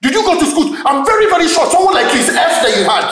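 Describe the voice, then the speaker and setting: A man's voice: fairly high pitch, lively intonation, fairly quick, crowd noise, lightly acted. A man with a Nigerian accent acting an anxious, stammering husband in a screen drama, veering between pleading and explosive. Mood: insulting, angry. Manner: stammering, repeats words, switches from apologetic to insulting. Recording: roomy echo, music underneath.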